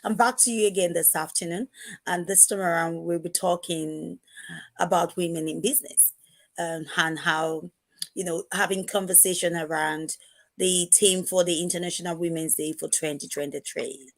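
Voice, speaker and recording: A woman hosting an interview, introducing her guest in a measured, hesitant way. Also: on the low side, steady tone, dry recording. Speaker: host.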